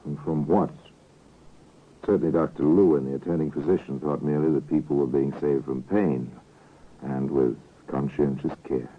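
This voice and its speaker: An actor playing a musing, ominous narrator in an old-time radio drama, deliberate and portentous. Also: male voice, very deep voice, fairly even intonation. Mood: insinuating. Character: ominous, musing male narrator of suspense tale. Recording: muffled vintage radio recording.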